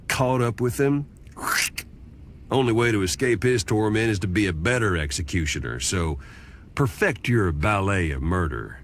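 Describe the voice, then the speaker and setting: An actor playing a wry fallen angel turned folksy storyteller in a video game, narrating in a colloquial drawl. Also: male voice, sits low, a little hiss or hum, exaggerated voice. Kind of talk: scripted game cutscene narration. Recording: loud music behind.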